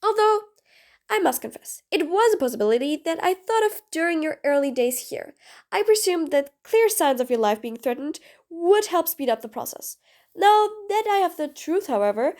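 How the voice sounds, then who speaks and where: A woman's voice: extremely high pitch, very animated, normal speed, a little mellow. A woman voicing a cool, blunt female supervillain in an audio roleplay, talking in clipped, commanding, sardonic lines. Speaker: voice actor. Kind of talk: audio roleplay, one side of a dialogue.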